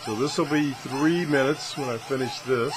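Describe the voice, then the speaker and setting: A man's voice: medium pitch, moderate pace, over music, poor audio. A man giving a casual, unscripted product demonstration, rambling and thinking aloud in a good-humored, self-deprecating way. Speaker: host of a radio product test video.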